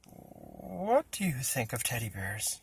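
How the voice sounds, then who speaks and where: Man acting an excitable young boy, swooping pitch. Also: slow, vocal fry, noisy background, full character voice. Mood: hesitant, anxious. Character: excitable young boy narrator, anxious about his teddy bear. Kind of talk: children's picture-book reading.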